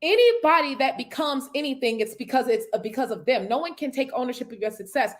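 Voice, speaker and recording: Woman in her thirties, business mentor, preachy uplifting talker, slightly high pitch. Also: animated, quick pace. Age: 30s. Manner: preachy, cites scripture. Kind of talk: motivational talk.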